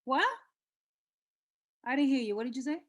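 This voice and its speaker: A woman's voice: sits high, normal speed, close to an everyday voice. A woman acting an edgy sixteen-year-old mean girl who leads her crew, scornful and commanding, in a scripted read. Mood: mocking, commanding.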